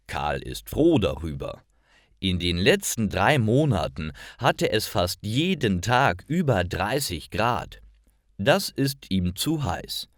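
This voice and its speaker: A man narrating a scripted story for language learners, enunciating carefully and deliberately. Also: on the low side, no room sound, clean studio sound.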